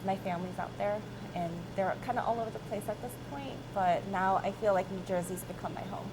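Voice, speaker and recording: A woman's voice: medium pitch, steady tone, brisk, background noise. A woman in her thirties with an American accent, answering interview questions conversationally as a company chief, informally checking in with "right?". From born in South Korea, grew up in Michigan, USA.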